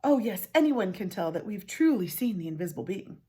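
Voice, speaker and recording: A woman's voice: medium pitch, very animated, fairly quick, warm tone, husky. A woman voicing vain, cruel, demanding young sisters in a haughty, insistent manner for a storybook. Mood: boastful. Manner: haughty, insistent, stammering when challenged.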